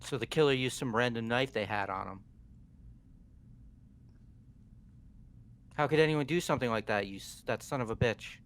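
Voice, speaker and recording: A man's voice: mid-range voice, fast talker, lightly acted. A man voicing a blunt, hot-headed teenage student with a casual way of talking in a video game. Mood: outraged. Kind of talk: amateur video game voice acting.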